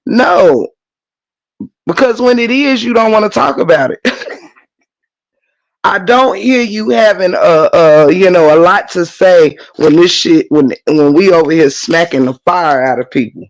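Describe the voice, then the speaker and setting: A man whose voice is worn down and hoarse, and a little stuffy, talks on his own livestream and reads out chat comments. His voice sits a bit high for a man. He rants with heavy swearing and hammers his points again and again. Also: measured pace, rough recording. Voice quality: profane, emphatic ranting with repetition. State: hoarse, losing voice; congested. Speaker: livestream host. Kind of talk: casual livestream talk, reading chat.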